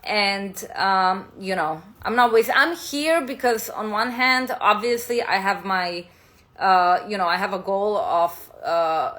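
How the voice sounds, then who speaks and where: A woman's voice: mid-range voice, slow, pushed and bright. A woman from Serbia, a spiritual teacher and content creator, talking loosely in a live stream with many fillers and restarts. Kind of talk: casual live-stream talk. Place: home in New York City.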